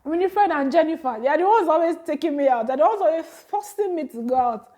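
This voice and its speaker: A Nigerian woman in her thirties talking casually to camera, chatty and quick to laugh, with animated exclamations. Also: high-pitched. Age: 30s. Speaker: vlogger.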